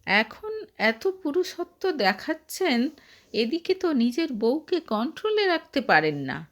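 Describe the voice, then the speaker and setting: A woman's voice: high voice, sing-song, unhurried, voice pushed up, heavily acted. A woman voicing a jeering, combative young man who fires accusing questions in a dramatised story. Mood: angry taunting. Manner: taunting, accusing, rhetorical questions.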